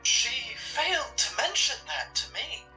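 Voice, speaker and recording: A woman's voice: high-pitched, very animated, measured pace, sharp tone, full character voice. A woman playing a blunt, guarded occult shopkeeper in an improvised horror drama, speaking in a curt, dry, evasive way. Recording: roomy, distant mic with music. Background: music.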